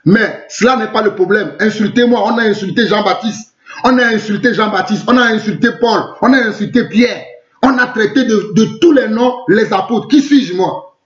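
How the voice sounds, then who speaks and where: A man's voice: high voice, normal speed. A middle-aged man from Togo with a Togolese French accent, preaching live online in an emphatic way, repeating phrases and asking rhetorical questions. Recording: roomy, echoing, muffled lo-fi sound.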